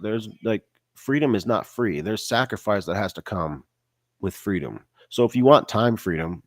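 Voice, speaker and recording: A man's voice: fairly low pitch, dry recording, clean studio sound. A man with a stuffy, nasal voice from a cold, giving a casual motivational talk about mindset and business, often tagging on "right?" and "you know". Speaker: online business coach.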